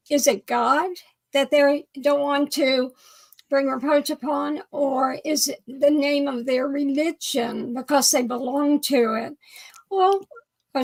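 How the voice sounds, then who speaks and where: Croaky older woman, slow and measured. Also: high-pitched, low-quality recording. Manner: measured, thoughtful. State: croaky voice from pollen. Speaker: co-host. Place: home study with bookshelves. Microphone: video call.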